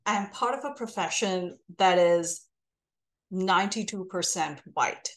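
Woman in her 40s, Indian-American blended accent, thoughtful interview guest recounting her journey. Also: lowish voice. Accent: mixed Indian and American. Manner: thoughtful, measured storytelling. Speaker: podcast guest. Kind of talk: reflective interview talk.

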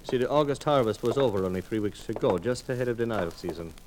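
A man portrays an over-polite Egyptian merchant in a vintage radio play. His voice is rather low, his manner oily and guarded, and there is faint noise on the recording. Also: animated, quick pace, close to an everyday voice. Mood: courteous. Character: smooth, evasive, courteous Egyptian cotton merchant. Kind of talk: old-time radio acting.

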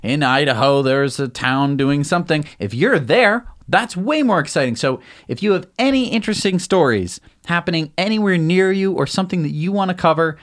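A man co-hosts a casual news podcast with a lively, swinging pitch. He talks eagerly and urges viewers to join in. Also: brisk, hardly any pauses. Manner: eager, animated, urging viewers. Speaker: co-host.

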